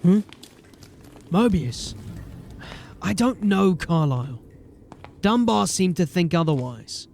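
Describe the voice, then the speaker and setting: A man acting a gruff, authoritative sergeant of a city watch in a fantasy audio drama, with clipped speech. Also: high voice, big pitch swings, takes it slowly, pauses often, darkish tone, a little hiss or hum, heavily acted. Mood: brooding.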